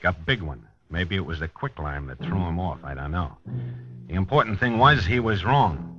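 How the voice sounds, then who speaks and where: An actor playing a plain-spoken, probing police lieutenant in 1950s radio drama style. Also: male voice, on the low side, sharp tone, heavily acted. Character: dogged male police lieutenant investigating a homicide. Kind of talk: 1950s radio acting, narration and dialogue. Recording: dull 1950s radio recording.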